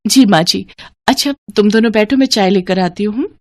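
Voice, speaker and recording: A woman's voice: medium pitch, moderate pace, dry recording, clean studio sound, lightly acted. Woman playing a meek young daughter-in-law. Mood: polite, hesitant. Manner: polite, hesitant, submissive.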